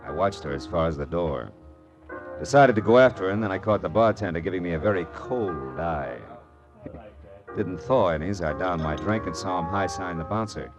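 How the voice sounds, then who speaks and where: A man's voice: deep voice, expressive, normal speed, dull audio. An American man playing a tough, sardonic private detective in a 1940s radio drama, clipped and wisecracking, both acting scenes and narrating. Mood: grim, wry. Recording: vintage radio recording with music behind.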